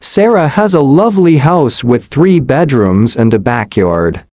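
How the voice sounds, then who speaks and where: A man's voice: medium pitch, very animated, a little slow, darkish tone, dry recording, studio quality. A man reading short sentences carefully for language learners. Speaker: English reader. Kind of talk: slow scripted reading for learners.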